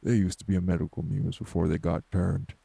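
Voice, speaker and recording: A man playing a morose older wraith in an audio drama, blunt, folksy and scolding, with a husky, gravelly voice. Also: flat delivery, fairly quick, no echo, heavily acted. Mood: gruff, challenging. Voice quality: very gravelly, husky, dark low voice. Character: morose older male wraith, rural homebody, stern but hospitable. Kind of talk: dramatic audio roleplay acting.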